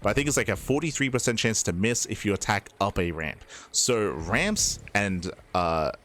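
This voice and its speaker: A man's voice: on the low side, expressive, fairly bright tone. Chatty Australian man casting live. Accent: mild Australian. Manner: fast, chatty, filler-heavy play-by-play. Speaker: esports caster. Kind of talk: live game commentary. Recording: music playing behind.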